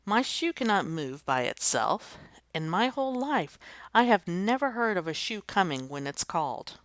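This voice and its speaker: A woman voicing a lively, prim schoolteacher who speaks earnestly and a little dramatically. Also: mid-range voice, normal speed, no room sound, voice pushed up, lightly acted. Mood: exasperated. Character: lively, gently indignant female schoolteacher.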